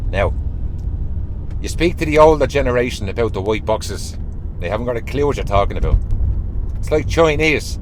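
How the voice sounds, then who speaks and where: Hoarse Dublin man, sore throat. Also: medium pitch, slight background noise, rough recording. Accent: Irish, Dublin. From Ireland. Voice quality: conspiratorial, teasing, repeats phrases. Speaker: channel host. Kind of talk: casual monologue to camera.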